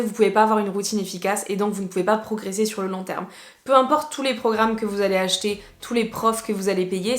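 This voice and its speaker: A lively woman with a southwestern French accent talks through a casual video lesson, chatty and quick to drop English phrases in. Her voice sits mid-range in pitch. The room around her sounds echoey. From southwest France. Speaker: English teacher.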